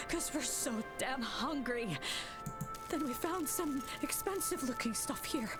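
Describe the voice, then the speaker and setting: A woman's voice: high-pitched, close to an everyday voice. A woman playing a starving, weary refugee in a video game, plaintive and folksy, sounding weak and worn out. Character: starving, weary female refugee turned petty thief. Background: loud game music.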